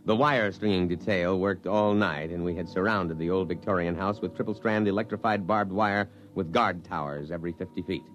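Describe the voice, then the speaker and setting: An actor playing a stiff, by-the-book army officer who narrates a 1950s radio drama in an official manner. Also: male voice, low voice, fairly quick, few pauses, a bit bright, slight background noise, poor audio. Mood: dry, self-satisfied.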